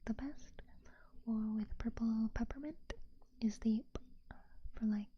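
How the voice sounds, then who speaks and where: A woman streaming ASMR in a gentle, breathy whisper, chatting casually and unhurriedly, very close to the microphone. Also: on the high side, measured pace, muffled recording, a little hiss or hum. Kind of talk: ASMR, casual chat with live viewers. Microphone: binaural ear microphone close to the face.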